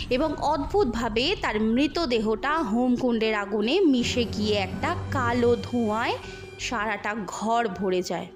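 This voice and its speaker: A woman narrating a scripted horror story in a dramatic storytelling style. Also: fairly high pitch. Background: music.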